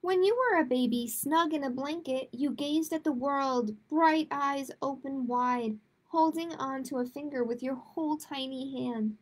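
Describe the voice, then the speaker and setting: A woman hosting a children's storytime, reading picture books aloud and chatting to families in an expressive way. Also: sits high, unhurried, few pauses. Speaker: children's librarian, storytime host. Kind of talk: picture book read-aloud and chatty announcements to young families.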